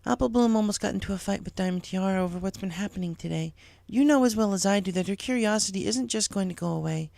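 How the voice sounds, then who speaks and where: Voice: medium pitch, fast talker, gravelly, few pauses, a little mellow, no echo, voice pushed up, natural voice. A performer voicing a concerned, responsible female pony schoolteacher in a story reading. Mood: urgent, concerned.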